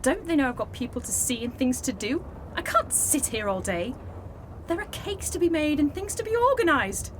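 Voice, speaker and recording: Through clear noise comes a woman acting a self-important lady of leisure in a radio play. Her voice is high and very animated, pompous and given to melodrama. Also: moderate pace. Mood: indignant. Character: haughty, self-important, fussing lady who lunches. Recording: clearly noisy amateur recording.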